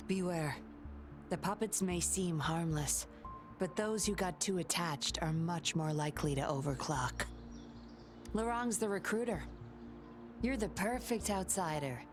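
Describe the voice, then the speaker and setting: Woman acting a cool sly undercover cop lady from a game, smooth n measured, loud-ish music under it. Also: on the low side, lightly acted. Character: composed, sly undercover patroller woman, coolly confident. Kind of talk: scripted video game voice acting.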